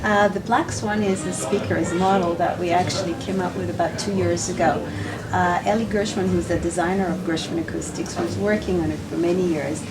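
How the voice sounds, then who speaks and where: A woman giving unscripted promotional answers as a company representative, halting as she searches for words. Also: lowish voice, steady tone, heavy background noise. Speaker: company representative, interview guest. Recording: slightly distant mic, music behind. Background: background music.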